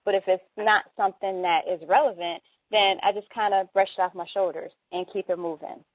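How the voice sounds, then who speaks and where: A soft-spoken, mild-mannered woman hosting a casual conversation. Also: medium pitch, steady tone, brisk, no room sound. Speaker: host. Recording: dull, dry lo-fi recording.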